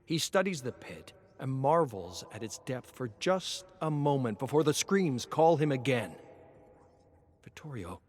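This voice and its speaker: A man narrating a dramatic story in a theatrical, deliberate way, moving between hushed and intense delivery. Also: medium pitch, very animated.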